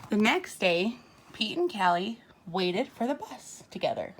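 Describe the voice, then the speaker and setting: A woman reads a children's picture book aloud in a teacherly way. Her pace is unhurried and her voice sits at a medium pitch. She is expressive and puts simple questions and asides to her young listeners. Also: slight background noise, rough recording.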